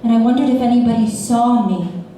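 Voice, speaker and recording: A woman's voice: fairly quick, mellow, a little hiss or hum. A young American woman from Texas performing her own spoken word poems at an open mic, playful and wry. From Austin, Texas, USA. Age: young adult. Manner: playful, wry, with sudden pitch jumps and laughs. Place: small bar. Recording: echoey bar room, voice from far off.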